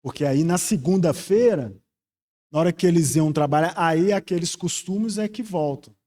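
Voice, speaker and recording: High-pitched Brazilian male preacher. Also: moderate pace, mellow. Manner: earnest, reflective, rhetorical questions to the congregation. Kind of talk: expository Bible teaching sermon.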